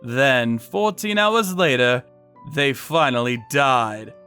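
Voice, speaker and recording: Slow, cold-voiced man narrating. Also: mid-range voice, bright, forceful tone. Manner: cold, sadistic, unhurried. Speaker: narrator and torturer character. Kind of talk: manga dub narration. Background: faint music.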